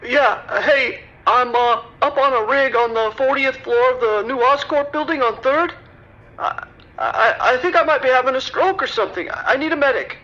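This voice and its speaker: A woman playing a shaken construction worker on an emergency call in an audio drama, stammering and halting in bewilderment. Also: high-pitched, sharp tone, muffled recording. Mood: shaken, bewildered. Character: shaken high-rise construction worker calling emergency services.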